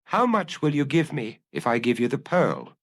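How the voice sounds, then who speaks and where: A man's voice: mid-range voice, big pitch swings, moderate pace, pitched above the performer's own voice. A man voicing a fearful murder suspect in an audiobook detective story, nervous and defensive while feigning indifference. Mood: desperate. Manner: nervous, defensive, struggling to sound unconcerned. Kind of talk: audiobook character acting.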